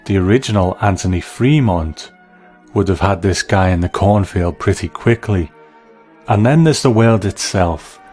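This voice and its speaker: A man's voice: low-pitched, a little mellow. A man narrating a scripted video essay as a reviewer of film and television.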